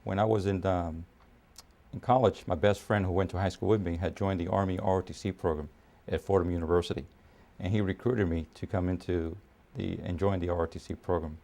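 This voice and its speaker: A man in his fifties from Puerto Rico, raised in New York, speaking as an army officer in a documentary interview, measured with frequent pauses and fillers. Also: deep voice, steady tone, creaky, dark tone, faint music behind. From Puerto Rico, raised in New York City. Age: 50s.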